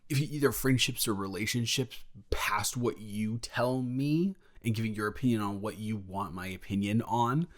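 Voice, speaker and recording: Casting: male actor for protective young male best friend and roommate, low register, halting casual delivery, sheepish with a jealous streak, intimate audio drama. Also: lightly acted. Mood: candid, scornful. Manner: hesitant, casual, halting with pauses. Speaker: audio roleplay performer. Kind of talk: improvised intimate roleplay monologue.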